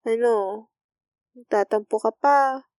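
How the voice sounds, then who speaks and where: Woman playing a slow, gentle Filipino school nurse, teasing. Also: a little high, no echo, clean studio sound. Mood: playful, teasing. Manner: soft, intimate, teasing, coaxing. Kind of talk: romantic ASMR roleplay.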